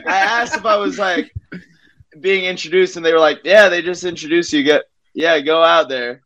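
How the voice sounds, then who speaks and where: A man telling a story as a guest comedian on a casual podcast, halting and repeating himself as he acts out exchanges. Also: fairly high pitch, a bit bright, muffled recording.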